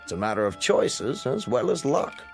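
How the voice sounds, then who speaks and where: An actor playing a wry, opportunistic club gentleman with an emphatic manner in a radio play. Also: mid-range voice, big pitch swings, normal speed. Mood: rueful. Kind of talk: radio drama acting. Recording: music under the dialogue. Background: music.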